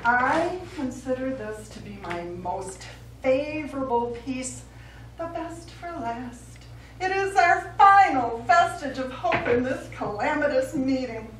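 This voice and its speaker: A woman's voice: high-pitched, animated, slow, a bit bright, exaggerated voice. A middle-aged woman on stage playing a theatrical, easily offended artist with a grand, florid delivery. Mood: gracious, theatrical. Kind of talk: live stage play acting. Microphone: far camera. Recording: roomy stage, distant camera mic, noisy.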